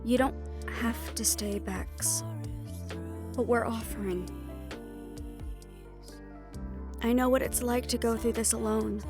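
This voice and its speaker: A woman acts out a knowledgeable occult mentor in an audio drama. Her voice sits fairly high, reassuring yet firm as she explains. Music plays behind her. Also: close to an everyday voice. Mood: gentle. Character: protective, knowledgeable female occult investigator and mentor. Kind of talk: audio roleplay acting.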